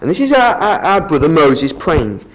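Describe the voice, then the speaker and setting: A man's voice: on the high side, big pitch swings, normal speed, a bit bright, rough recording. A man giving a Bible talk to a congregation, earnest and explanatory, often restarting his sentences. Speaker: lay preacher. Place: meeting room with an audience.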